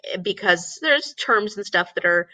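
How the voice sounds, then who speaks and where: A woman's voice: mid-range voice, lively intonation, a little slow, bright, forceful tone, no echo, rough recording. A woman hosting a casual live book discussion, thinking aloud and often posing questions. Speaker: teacher.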